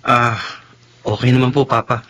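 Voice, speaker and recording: A man's voice: mid-range voice, little pitch movement, moderate pace, sharp tone, slight background noise, natural voice. An actor playing a defensive young businessman son in a Tagalog radio drama. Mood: hesitant.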